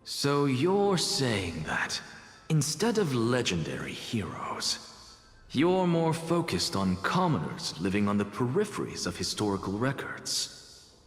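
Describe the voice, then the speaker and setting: A man voicing a haughty scholar impatient with convention in a game story, with a pompous, deliberate, theatrical delivery. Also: medium pitch, huge pitch swings, soft background music. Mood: intrigued. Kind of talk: voiced game story dialogue. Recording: echoey hall-like reverb.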